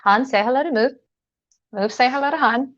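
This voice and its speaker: A woman's voice: sits high, brisk, a bit bright, no room sound, lo-fi sound. A woman livestreamer chatting casually while tinkering, in a hesitant, rambling way with playful asides. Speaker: livestreamer, host.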